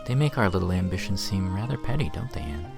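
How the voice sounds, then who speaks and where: An actor playing a tender, earnest young college man in an audio drama, gentle and poetic. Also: low-pitched, expressive, quick pace, creaky, over music.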